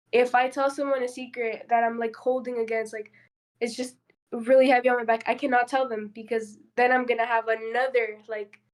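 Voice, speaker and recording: A young woman whose first language is Spanish talks in a fairly high voice. She shares her own experiences openly and personally, with plenty of "like" fillers, in a relaxed panel conversation. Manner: open, personal, many fillers. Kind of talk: casual panel discussion.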